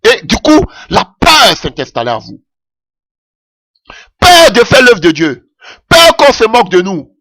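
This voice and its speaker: A man's voice: wildly swooping pitch, measured pace, pauses often, sharp tone, dry recording. A man preaching a sermon with passion, calling out alleluia often as his voice rises. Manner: impassioned, rising pitch with frequent alleluias.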